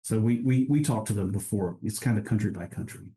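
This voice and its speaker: A man, a guest on an interview podcast, talking in a relaxed way with frequent restarts and easy laughs. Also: fairly low pitch, brisk. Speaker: guest, international trade director. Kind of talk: casual interview conversation.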